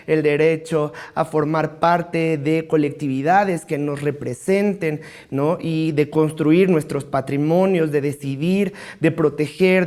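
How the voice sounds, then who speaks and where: Mexican man giving formal, deliberate explanations. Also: fairly high pitch, darkish tone. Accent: central Mexican. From Mexico City, Mexico. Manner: formal, deliberate, often tags sentences with a questioning "no?". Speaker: interviewee, cultural rights advocate and political adviser. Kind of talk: interview answers, explanatory.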